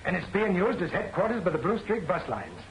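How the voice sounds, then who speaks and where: High male voice, animated swoops, excitable suspicious reporter, vintage serial, echoey soundtrack. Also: brisk, slight background noise. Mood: eager. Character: excitable, suspicious newspaperman eager to convince his boss. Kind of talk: 1940s film serial acting. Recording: echoey old film soundtrack.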